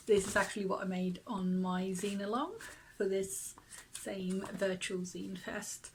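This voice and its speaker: A person whose first language is Finnish, reading their own zine aloud in a casual, soft-spoken way that often drops to a half whisper. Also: female voice, medium pitch, slight background noise, poor audio. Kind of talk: casual reading at a virtual open mic.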